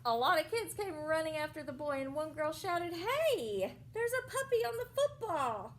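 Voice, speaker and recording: A woman reading a picture book aloud to young children as a teacher, explaining things simply and pausing for questions and asides. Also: very high voice, very animated, fairly bright tone, a little hiss or hum.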